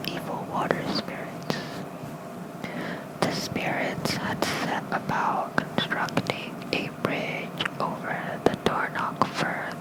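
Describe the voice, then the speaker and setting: An ASMR creator softly reading folklore aloud in a hushed, unhurried bedtime whisper. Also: low-quality recording.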